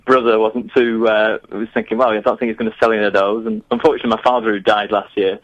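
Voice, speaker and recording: A man's voice: fairly low pitch, flat delivery, quick pace, no room sound, dull audio. A man, answering interview questions in a chatty, hesitant way with many restarts. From northern England. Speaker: guest, geography teacher. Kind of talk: remote interview.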